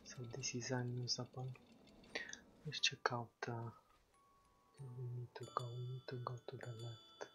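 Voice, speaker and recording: A man with a soft, low voice giving casual, hesitant, low-key commentary as he plays a game. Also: completely flat delivery, measured pace, pauses often. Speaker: gamer commentating a playthrough. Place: home in an apartment building, at night. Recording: dull lo-fi home recording. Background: plucked-string game music.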